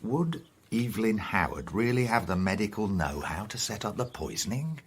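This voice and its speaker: An actor playing a blunt, dryly good-humoured English police inspector in a radio drama, with an English accent and a husky voice. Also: male voice, on the low side, big pitch swings, moderate pace, heavily acted. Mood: musing, sceptical. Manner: blunt, dry.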